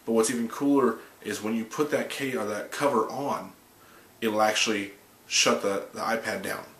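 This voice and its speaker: A man's voice: lowish voice, normal speed, fairly bright tone. Stuffy-nosed guy recapping tech news. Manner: chatty, upbeat, reads from notes with restarts. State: stuffy from a cold. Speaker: tech video host. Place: small home office room. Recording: echoey room, faint room hum.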